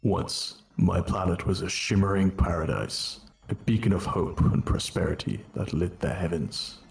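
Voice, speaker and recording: Man playing a deep, gravelly, noble robot commander. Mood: solemn. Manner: grave, measured, earnest. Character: weary, noble robot war commander, solemn and reassuring. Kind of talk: dramatic first-person narration in a cartoon fan dub.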